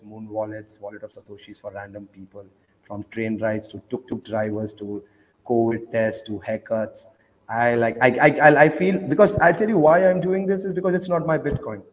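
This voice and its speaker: A man's voice: mid-range voice, big-room sound, muffled recording. A man from Oman, a guest on a casual panel chat, telling stories eagerly with loose grammar.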